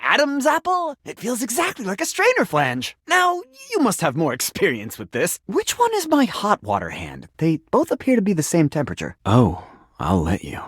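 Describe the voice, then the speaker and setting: A man's voice: high voice, huge pitch swings, normal speed, a bit bright, husky, dry recording, studio quality, heavily acted. An actor playing a confused, sentimental sink who thinks he is human in a video game, with theatrical, flowery delivery. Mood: earnest, puzzled. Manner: theatrical, flowery, swinging between formal and exclamatory. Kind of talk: video game character acting.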